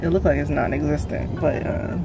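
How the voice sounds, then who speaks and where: A woman's voice: sits low, steady tone, brisk, creaky, music in the background. A young American woman chatting casually with a friend as she vlogs on a handheld camera. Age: young adult. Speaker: vlogger. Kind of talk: casual vlog chat with a friend. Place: outdoors on a city sidewalk and in a car back seat. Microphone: handheld camera at arm's length. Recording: loud street and car noise. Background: traffic and vehicle ambience.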